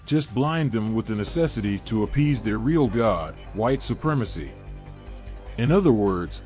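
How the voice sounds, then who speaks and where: A man's voice: medium pitch, a little mellow. Preachy man narrating a sermon over music. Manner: preachy, denunciatory, rhetorical questions. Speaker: religious video narrator.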